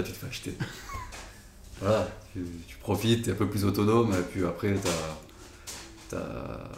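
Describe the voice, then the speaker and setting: A man's voice: deep voice, darkish tone. A man giving a casual, hesitant interview about his family, with frequent fillers. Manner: hesitant, many fillers and restarts. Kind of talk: casual spoken testimony. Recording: echoey room, faint noise.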